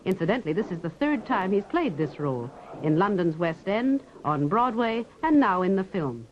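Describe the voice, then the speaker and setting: A woman reporter giving polished, lively scripted newsreel narration and asking interview questions. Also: mid-range voice, normal speed. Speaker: reporter and presenter. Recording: dull 1960s film soundtrack.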